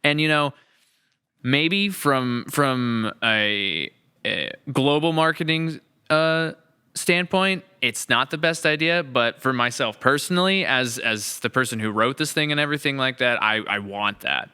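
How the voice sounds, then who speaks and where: A man's voice: mid-range voice, expressive, measured pace, pushed and bright, smooth. A man hosting a casual podcast interview, talking in a wordy, thoughtful, rambling way. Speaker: podcast host and series writer.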